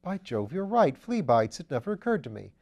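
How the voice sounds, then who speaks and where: A man's voice: on the high side, very animated, brisk, a little mellow, pitched above the performer's own voice. A man doing a level-headed police detective. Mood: matter-of-fact. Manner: plain, matter-of-fact, skeptical. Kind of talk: audiobook character voice.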